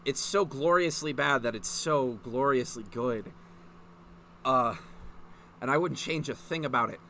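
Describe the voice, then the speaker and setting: A man's voice: medium pitch, unhurried, sharp tone, slight background noise. A man doing a casual comedic reading, profane and mock-exasperated, prone to breaking into laughter as he reacts. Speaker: host of a comedic fan fiction reading.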